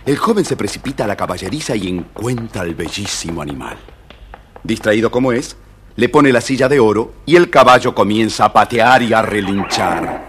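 A man with an Argentine accent and a husky voice, narrating a scripted story for children. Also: on the low side, animated, normal speed, a little hiss or hum.

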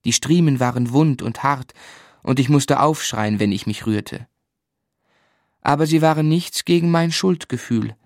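A male actor narrating a radio play as a grown man looking back on his boyhood, in a measured, reflective storytelling manner. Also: medium pitch, no echo, studio quality, natural voice.

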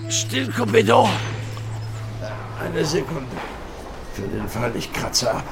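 An actor playing a rough, wounded ex-convict gardener, straining and gruff, in a radio crime drama. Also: male voice, on the high side, wildly swooping pitch, takes it slowly, over music, heavily acted. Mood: pained, urgent.

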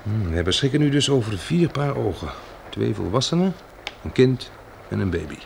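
Caring practical survivor in an old radio play, lively intonation, really noisy tape. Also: male voice, on the low side, normal speed, creaky. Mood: calm, firm. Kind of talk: Dutch radio play acting.

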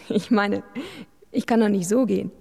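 A woman's voice: mid-range voice, moderate pace, gravelly, darkish tone, lightly acted. An actress playing an eager, coy young woman with writing ambitions in a radio drama. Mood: shy. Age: young adult.

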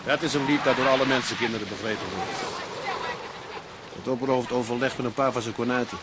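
A man's voice: medium pitch, fast, close to an everyday voice. An actor playing a level-headed, plain-spoken seaman in a Dutch audio drama. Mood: satisfied. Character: sober, level-headed male seaman, the captain's fellow officer. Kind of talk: Dutch radio drama acting. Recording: noisy outdoor ship ambience.

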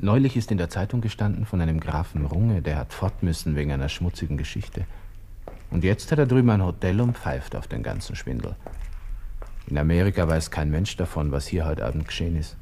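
Low-voiced brooding young Viennese lieutenant. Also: male voice, animated, fairly quick, mellow, slightly rough, heavily acted. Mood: bitter, hopeless. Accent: Austrian, Viennese. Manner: muttering to himself, colloquial, broken musing. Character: young Austrian army lieutenant, despairing, brooding over suicide. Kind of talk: radio drama interior monologue, close and intimate.

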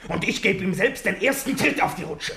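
An actor in a German radio play playing a gruff, hot-tempered army captain, blunt, scornful and commanding. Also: male voice, sits high, expressive, brisk, crowd noise. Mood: angry, threatening. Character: gruff male soldier captain, hot-tempered, honour-bound.

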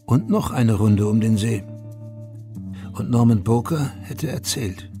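An actor as the narrator of a melancholy radio drama, reading literary prose in a measured, wistful, gravelly way. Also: male voice, lowish voice. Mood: melancholy, detached. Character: male third-person narrator of a melancholy war story. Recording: music behind the narration. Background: music.

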